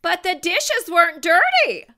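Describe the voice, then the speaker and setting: A woman voicing a patient but exasperated mother cat in a children's storybook, with drawn-out, emphatic phrasing. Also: very high voice, very animated, pushed and bright, voice pushed up, heavily acted. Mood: patient, bemused.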